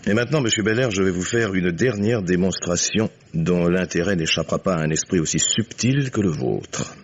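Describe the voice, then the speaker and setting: An actor playing a shrewd, commanding older father in a vintage French radio mystery, speaking with courteous, deliberate irony. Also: low-pitched, a little hiss or hum. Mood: ironic, triumphant. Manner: courteous, deliberate, suavely ironic. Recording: dull vintage radio recording.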